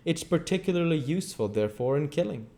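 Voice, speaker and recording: A man's voice: a little high, expressive, fast, dark tone, voice pushed up. A man voicing a fanatical, megalomaniac schemer in a storybook reading, with emphatic, declamatory delivery. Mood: scheming, intense.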